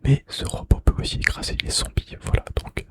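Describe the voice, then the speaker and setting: A man speaking in a breathy, unvoiced whisper close to the mic, sharing gaming trivia ASMR-style and softly repeating key phrases. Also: fairly quick. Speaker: ASMR video creator. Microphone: close microphone.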